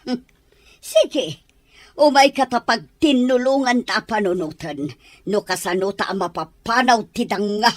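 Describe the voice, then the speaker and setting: A woman's voice: fairly high pitch, unhurried, dry recording, close to an everyday voice. A woman acting as a cold, calculating older mother-in-law in an Ilocano radio-style drama, speaking with stern control. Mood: scheming. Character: scheming older mother-in-law plotting against daughter-in-law.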